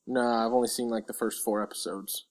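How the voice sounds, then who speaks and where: Easygoing man with a mid-pitched voice. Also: steady tone, a little fast. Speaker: podcast co-host. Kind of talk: casual pop-culture chat.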